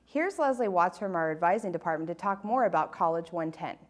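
A woman's voice: on the low side, expressive, a little fast. A woman presenting a scripted segment introduction as a program host.

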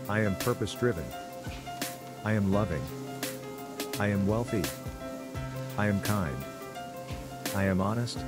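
A man with a very deep voice reads out affirmations in an even, steady way. Loud music plays beneath every line. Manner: steady, measured. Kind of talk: recited affirmations.

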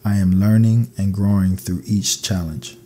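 A man narrating scripted sleep meditation affirmations in a calm, soothing, measured way. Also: on the low side, slight background noise.

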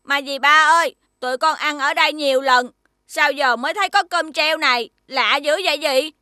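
Very high, curious little cartoon creature. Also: female voice, moderate pace, sharp tone, dry recording. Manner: inquisitive, childlike. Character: small, cute, curious blue-green magical creature friend. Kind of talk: children's cartoon voice acting.

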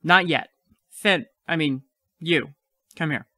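A man's voice: on the high side, slow, pushed and bright, no echo, clean studio sound, full character voice. A man playing a frightened but defiant, clever child in an audio play. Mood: wary.

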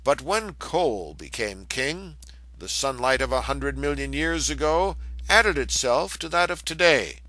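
A man reading an old scholarly lecture aloud in a measured, formal manner, with the occasional stumble over a word. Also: mid-range voice, lively intonation, sharp tone, no echo, low-quality recording. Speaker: reader of a historical lecture text.

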